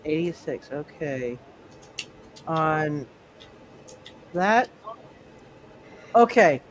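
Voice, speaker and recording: A man narrating a tabletop roleplaying game as game master, speaking haltingly and often repeating his words. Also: mid-range voice, animated, slight background noise.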